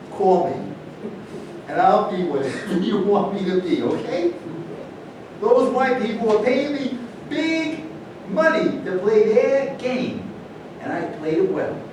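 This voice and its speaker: A man as a brash, high-voiced golf star. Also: sing-song, measured pace, pauses often. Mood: boastful, dismissive. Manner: cocky, flippant. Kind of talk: staged play reading. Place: large indoor room at a live staged reading, distant mic. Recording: very echoey, noisy room from far off.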